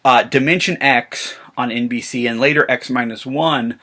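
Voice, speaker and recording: American man, vlog host, crisp bright edge, creaky voice, informal rambling delivery, excited fan talk. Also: mid-range voice, normal speed. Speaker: vlog host and science fiction reviewer. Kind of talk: casual enthusiastic chat to camera.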